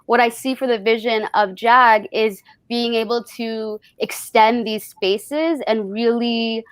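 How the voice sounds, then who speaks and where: A woman's voice: fairly high pitch, fairly even intonation, takes it slowly, smooth, no room sound. A woman actor on a panel, reflecting aloud and searching for her words as she goes.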